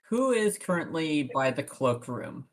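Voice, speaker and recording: A tabletop game player chatting casually and haltingly, describing and voicing a character's actions while thinking aloud. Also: medium pitch, big pitch swings, slight background noise. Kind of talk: casual in-character roleplay chat.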